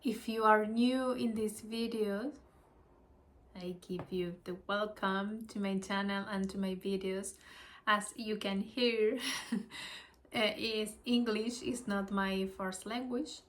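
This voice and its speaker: Slow-speaking woman with a Spanish accent. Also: mid-range voice, steady tone. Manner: hesitant, apologetic, with small laughs and restarts. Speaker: channel host reading spiritual lessons. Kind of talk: slow reading of lessons with personal reflection.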